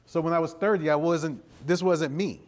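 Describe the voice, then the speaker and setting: A man's voice: on the high side, normal speed. A middle-aged American man answering interview questions conversationally, explaining things and often checking in with his listeners. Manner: explanatory, punctuated with "you know" and "right?" check-ins. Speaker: interview guest, creative director and video producer. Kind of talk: conversational interview answers before a live audience.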